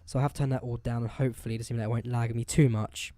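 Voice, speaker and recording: A man's voice: mid-range voice, little pitch movement, rapid-fire, warm tone, dry recording. A man with a sore throat from flu, casually talking through a flight simulator session step by step. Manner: chatty, explains each step as he goes. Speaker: flight simulator gameplay host. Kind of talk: casual gameplay commentary.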